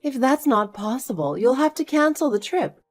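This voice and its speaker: A woman voicing a caring but firm older grandmother, earnest and measured, in an acted family story. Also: high-pitched, big pitch swings, soft background music, pitched above the performer's own voice.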